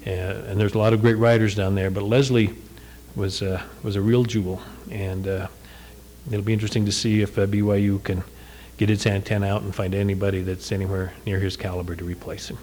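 A man with a low, even voice talks about a departed colleague at an unhurried pace. He hesitates often between phrases, and a faint background noise sits under the recording.

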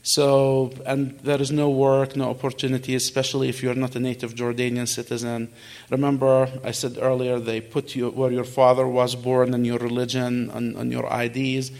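Gravelly middle-aged man telling his story. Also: mid-range voice, completely flat delivery, moderate pace, darkish tone. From Kuwait. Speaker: guest speaker answering audience questions. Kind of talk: conversational personal storytelling.

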